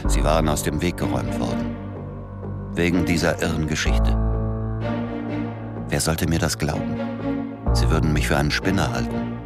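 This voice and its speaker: A weary, suspicious ex-agent with a very low male voice. He speaks with brooding restraint, as the narrator and lead of a radio drama. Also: moderate pace, long pauses, loud music behind the voice. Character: exhausted, suspicious former agent telling his story.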